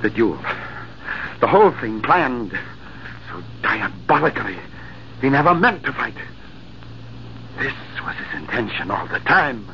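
An impassioned, grief-mad cavalry captain. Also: male voice, mid-range voice, big pitch swings, a little slow, long pauses, sharp tone, a little hiss or hum, full character voice. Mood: bitter. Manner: impassioned, theatrical. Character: grief-mad young cavalry captain avenging his love. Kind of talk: 1940s radio acting. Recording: dull old radio recording.

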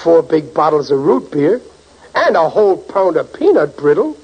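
Casting: bumbling, boastful New husband, highish animated voice, old muffled radio comedy. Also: male voice, moderate pace, husky, heavily acted. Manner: flustered stammering, boastful. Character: blustering, bumbling working-class husband and father. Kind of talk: 1940s radio comedy acting. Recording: muffled, hissy 1940s radio recording.

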